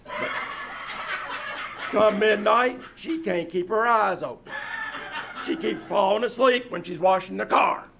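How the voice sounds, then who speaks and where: Man acting a creaky, high, wisecracking grumbler. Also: a little slow, long pauses. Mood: dry, grumbling. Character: callous, wisecracking working-class husband griping about his wife. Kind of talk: old-time radio comedy acting. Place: small-town theater stage, live performance.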